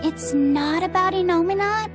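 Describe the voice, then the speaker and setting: A woman's voice: very high voice, moderate pace. A woman voicing an earnest, polite young boy, a gifted student in a video game. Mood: eager, polite. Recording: loud music under the voice.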